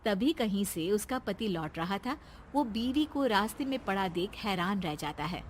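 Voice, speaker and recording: A woman's voice: medium pitch, expressive, normal speed, slight background noise. A woman narrating a scripted cartoon story as its storyteller.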